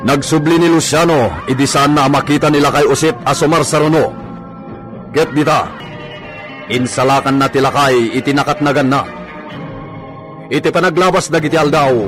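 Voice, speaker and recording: A man's voice: mid-range voice, moderate pace, pauses often. A man with a Filipino accent giving scripted dramatic narration for a radio serial over music.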